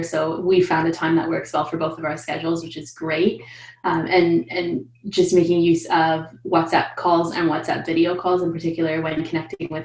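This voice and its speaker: A woman in her thirties, a coaching client, talking in an animated, upbeat way over a video call from a room at home. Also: lowish voice, echoey room, low-quality recording. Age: 30s. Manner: animated, upbeat, says she is loud. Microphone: video call webcam.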